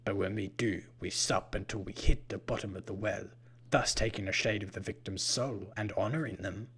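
A man voicing a menacing, haughty vampire-like killer in a story, with a husky, breathy voice and an odd, deliberate way of talking. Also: fairly low pitch, steady tone, a bit bright. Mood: smug, lecturing. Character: menacing, haughty purple-skinned vampire-like killer, male, unhurried.

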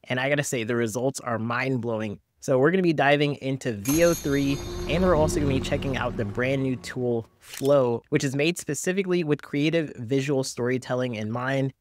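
A man's voice: mid-range voice, fairly quick, hardly any pauses, dry recording, clean studio sound. A man hosting a casual video review, narrating with enthusiasm and slang. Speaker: host, reviewer.

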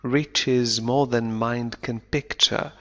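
A man teaching English, reading a novel aloud slowly and deliberately and explaining it line by line, an Arabic speaker. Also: medium pitch, fairly even intonation, pushed and bright. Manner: slow deliberate reading, then quick explanations. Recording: dull lo-fi recording.

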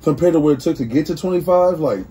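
A man in his thirties on a podcast, animated and storytelling, heard a bit away from the mic. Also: on the high side, fast, crowd noise. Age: 30s. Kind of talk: casual podcast chat.

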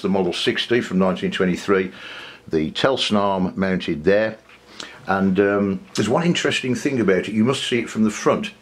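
A man with a husky voice, informally demonstrating old audio equipment in a hesitant, conversational way. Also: fairly low pitch. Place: indoor room with record player. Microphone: clip-on mic, not near enough.

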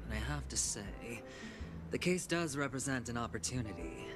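A man voicing a suave, scheming young gambler in a video game, theatrical and deliberate, with breathy sighs. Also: medium pitch, sing-song, natural voice. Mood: calculating. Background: background music.

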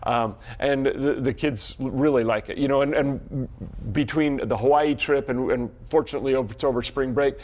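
Male, middle-aged, American. Folksy coach in a sports interview, medium pitch, repeats himself as he explains. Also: normal speed, a little mellow. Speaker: softball coach. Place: small indoor locker-style studio set.

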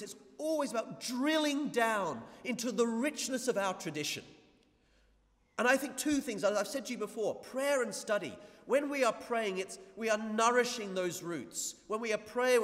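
A man's voice: sits high, fairly bright tone, in a large hall. A man preaching a conversational homily, with many asides to the congregation. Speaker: priest. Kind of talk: sermon. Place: church.

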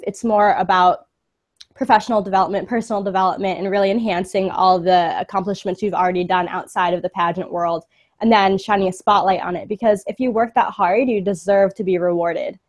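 Upbeat young woman on a video call. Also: mid-range voice. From San Francisco Bay Area, USA. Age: 20s. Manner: upbeat, laughs often, many fillers. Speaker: interview guest, pageant delegate. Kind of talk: casual live video interview. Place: indoor room, sitting on a sofa. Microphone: webcam video call.

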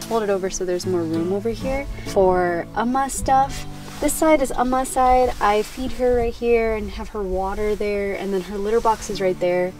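A relaxed, upbeat woman talks casually about her tent and setup at a campsite, with a few ums along the way. Her voice sits mid-range. Music plays clearly behind her. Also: mid-range voice, moderate pace. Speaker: camping companion. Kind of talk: casual chat. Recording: background music.

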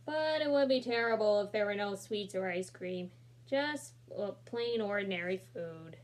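A young woman reading a children's picture book aloud in a small room, expressive and adding casual asides, recorded on a laptop camera. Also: medium pitch, moderate pace.

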